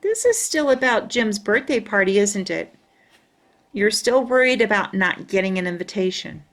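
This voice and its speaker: A woman voicing a caring, patient mother in a storybook, coaxing and questioning gently. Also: medium pitch, expressive, moderate pace. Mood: gently probing.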